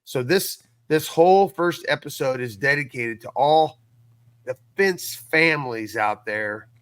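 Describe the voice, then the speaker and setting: A middle-aged man in casual podcast conversation, telling things in an earnest, folksy, animated way. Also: medium pitch, a little slow, clean tone, no room sound. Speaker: podcast guest and audio series creator.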